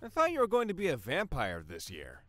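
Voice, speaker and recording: Quirky chatty male partygoer, acted by a man, hugely varied pitch, bright tone, audio drama. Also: wildly swooping pitch, fairly quick, no echo, soft background music, full character voice. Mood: teasing. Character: quirky partygoer dressed as a mad scientist.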